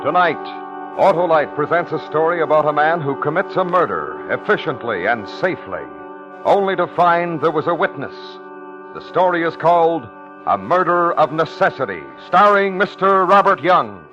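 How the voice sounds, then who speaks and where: A man announces a vintage suspense program in a dramatic, measured way. His voice sits a little high. The old recording is muffled, with clear music underneath. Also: expressive. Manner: portentous, measured phrasing. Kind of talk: dramatic 1950s radio introduction.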